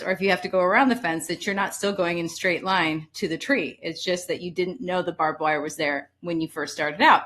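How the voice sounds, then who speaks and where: A woman's voice: mid-range voice, a bit bright. A woman hosting an interview show, talking with chatty, upbeat enthusiasm. Her voice sits in an ordinary middle range, and she tends to ramble through her points. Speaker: host. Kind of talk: hosted interview with guest introduction.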